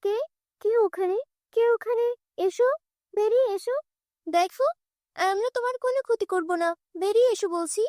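Woman voicing a high-pitched, commanding forest fairy. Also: moderate pace, long pauses, darkish tone, no room sound, studio quality. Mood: suspicious, commanding. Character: stern but kindly female forest fairy.

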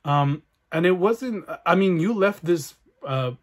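Young mexican guy reading out book comments, chatty, laughs a lot, bit high voice. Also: expressive, measured pace. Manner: chatty, friendly, laughs often. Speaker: book vlogger. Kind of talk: casual chat reading viewer comments. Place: bedroom with bookshelves and neon light, indoors.

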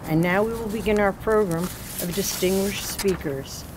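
A woman's voice: mid-range voice, moderate pace. An older woman hosting a ceremony, reading formal introductions from notes at an outdoor podium with small slips. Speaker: ceremony host. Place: outdoors on a city street by a doorway. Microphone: podium mic. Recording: outdoor street noise.

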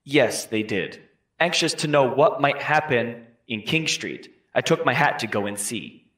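A man's voice: mid-range voice, expressive, unhurried, fairly bright tone. A man playing an 18th-century townsman giving careful, formal testimony in a scripted trial re-enactment. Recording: echoey room.

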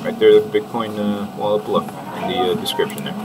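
A middle-aged man giving rambling, halting commentary as he reads out an article, sardonic and mock-preachy. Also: on the low side, fairly even intonation. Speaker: online commentator. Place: indoor room, seen on webcam. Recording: distant mic under loud music.

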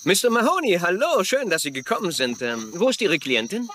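An actor playing a persistent, polite investigator in a dubbed crime drama, asking probing questions. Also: male voice, high-pitched, big pitch swings, a little fast, fairly bright tone. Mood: brisk. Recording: faint noise under dialogue.

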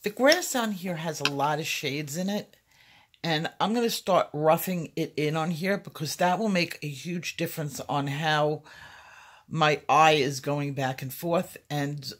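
A woman with a slightly hoarse voice giving a casual, step-by-step tutorial in an informal, explanatory way. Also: low-pitched, unhurried, soft background music. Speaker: coloring tutorial host.

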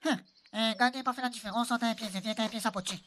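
A woman with a Réunionese accent voicing a cheeky, mocking male island buddy in a local comedy radio soap, with rapid teasing banter. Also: a little high, steady tone, fairly bright tone, slight background noise, close to an everyday voice.

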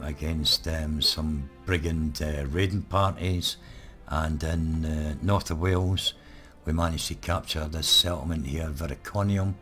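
Hoarse deep-voiced gamer. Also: male voice. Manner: relaxed, rambling, many ums. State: hoarse, croaky. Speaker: gaming channel host. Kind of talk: live let's play commentary.

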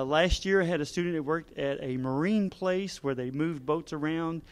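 A man, an auto mechanics teacher talking to students into a handheld mic, relaxed with frequent fillers. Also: a little high, smooth. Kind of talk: informational talk to students. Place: indoor school classroom. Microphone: handheld microphone.

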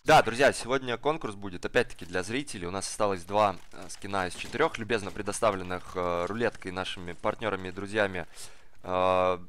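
A hoarse man commentating a live online game match in a casual, slangy way, speeding up during the action. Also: deep voice, brisk, fairly bright tone, slight background noise. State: hoarse from the cold. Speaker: esports commentator. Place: cold flat at home.

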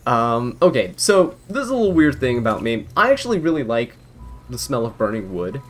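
A man's voice: mid-range voice, sing-song, fairly quick. A young man giving casual gameplay commentary in a chatty, amused way, wandering off on tangents. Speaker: gaming video creator. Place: voice-over recorded apart, game music behind.

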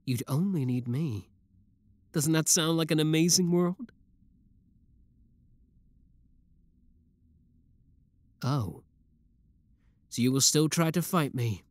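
A man's voice: medium pitch, darkish tone, dry recording. A young man voicing an obsessive, lovesick young male villain in a theatrical, brooding audio roleplay. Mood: taunting. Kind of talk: roleplay audio drama monologue.

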